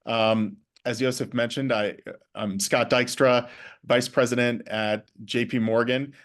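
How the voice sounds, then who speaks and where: A man speaking as a guest in a class talk, pausing often with ums and restarting words. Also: medium pitch, fairly even intonation. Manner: hesitant, many ums and restarts. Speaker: guest speaker, bank vice president.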